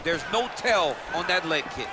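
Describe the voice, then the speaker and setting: A man's voice: a little high, expressive, moderate pace, natural voice. A man voicing an enthusiastic fight analyst in a video game, emphatic and analytical in his commentary. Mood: keen, impressed. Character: enthusiastic male fight analyst breaking down strikes. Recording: loud arena noise over the voice. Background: noisy fight arena game sounds.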